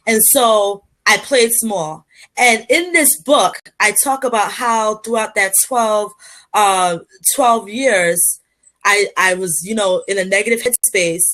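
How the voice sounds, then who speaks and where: A woman's voice: mid-range voice, slow, a bit bright, low-quality recording. A woman from Liberia, a public speaker, answering interview questions in an earnest, motivational way with frequent fillers and restarts.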